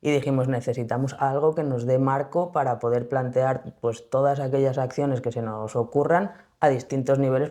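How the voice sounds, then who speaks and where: A woman's voice: a bass voice, warm tone. A woman with an accent from Spain explaining her organization's approach as an interview guest, in a colloquial, conversational way. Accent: Spanish from Spain.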